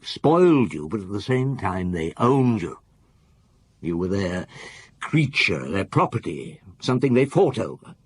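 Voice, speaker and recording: An actor playing a shrewd, relentless police chief inspector in a radio drama, probing and measured as he interrogates. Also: low-pitched, slightly rough, heavily acted. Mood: probing, scornful. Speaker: actor in lead detective role.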